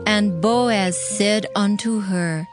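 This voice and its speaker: A woman reading as narrator of a dramatized audio Bible, in a formal, measured way. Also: mid-range voice. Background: background music.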